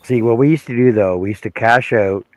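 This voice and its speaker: A man in casual chat, blunt and animated as he tells stories. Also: mid-range voice, fairly quick, dry recording.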